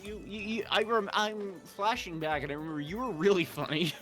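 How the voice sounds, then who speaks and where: A man's voice: high voice, fast talker, a bit bright. A man in a casual, joking group chat, often repeating the start of his phrases. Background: background music.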